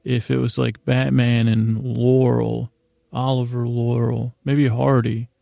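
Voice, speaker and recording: A man hosting a bedtime podcast in a gravelly voice, rambling in a halting, self-deprecating way that trails off mid-sentence to lull listeners to sleep. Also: mid-range voice, little pitch movement, warm tone, no room sound, clean studio sound. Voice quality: gravelly, creaky. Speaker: podcast host.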